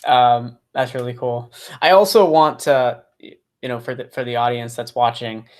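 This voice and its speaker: Halting, filler-heavy podcast host man. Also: mid-range voice, fairly even intonation, a little mellow. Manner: halting, many restarts and fillers. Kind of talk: casual interview hosting.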